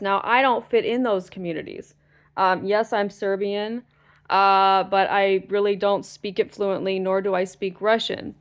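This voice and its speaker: Woman, vlogger, stressed, exasperated, gravelly, smooth. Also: mid-range voice. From United States. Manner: frustrated, swearing, exasperated. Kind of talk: ranting to camera.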